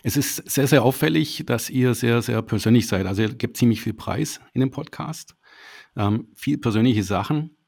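A man interviewing two podcast guests in a halting way, often repeating words. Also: mid-range voice, gravelly, a little mellow, husky. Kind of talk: casual interview.

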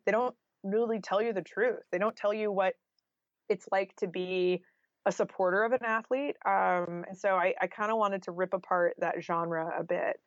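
A woman's voice: lowish voice, dry recording. Female author, conversational and reflective guest; dry, slightly muffled lo-fi recording. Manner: conversational, thoughtful, frequent "like" and "you know". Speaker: interview guest, nonfiction author. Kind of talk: casual, reflective interview talk.

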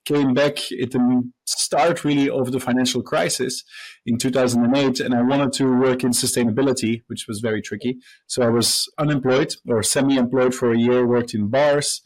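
A man from Belgium in his thirties, a professor and company co-founder, answering interview questions in a relaxed storytelling way, with fillers and restarts. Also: mid-range voice, poor audio.

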